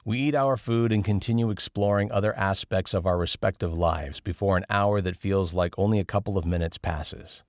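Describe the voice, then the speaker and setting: A low male voice delivers scripted audiobook narration in the first person. The recording is close and very dry, with no room sound around the words. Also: normal speed, hardly any pauses, no room sound.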